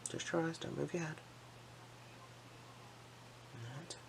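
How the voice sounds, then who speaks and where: An ASMR performer role-playing an eye examiner, giving gentle, unhurried, reassuring instructions in a breathy whisper mixed with soft speech. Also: medium pitch, steady tone, a little hiss or hum.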